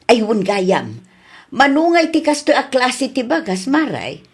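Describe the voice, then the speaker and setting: A woman's voice: a little high, wildly swooping pitch, measured pace, slightly rough, exaggerated voice. A woman voicing a chatty customer at a rice store in a radio drama. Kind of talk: Ilocano radio drama acting.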